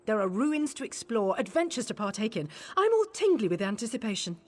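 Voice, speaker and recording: A woman's voice: on the high side, a little fast, a little hiss or hum. A woman voicing an eager, hot-tempered, talkative adventurer in a video game. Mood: grateful anticipation. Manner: excitable, talkative.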